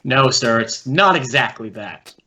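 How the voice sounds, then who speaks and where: An actor playing a polite, businesslike police detective asking questions in an old radio drama. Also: male voice, mid-range voice, animated, a little slow, pushed and bright. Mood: polite, probing. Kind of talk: 1950s radio acting. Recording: dull old radio recording.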